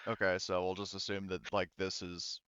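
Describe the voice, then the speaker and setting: A man narrating a tabletop roleplaying game as game master, in a casual, profane way, halting as he thinks aloud. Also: low-pitched, level tone, fairly bright tone, clean tone, no room sound, highs cut off.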